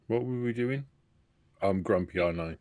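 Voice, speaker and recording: A man's voice: fairly low pitch, moderate pace, a little mellow, no echo. A man chatting casually as a player in a tabletop roleplaying game. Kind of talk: casual chat.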